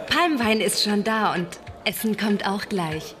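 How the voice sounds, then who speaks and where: A woman's voice: medium pitch, animated, measured pace, lightly acted. A woman playing a friendly, flirtatious village waitress in a radio drama. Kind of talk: German radio drama acting. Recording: clear music behind the voice.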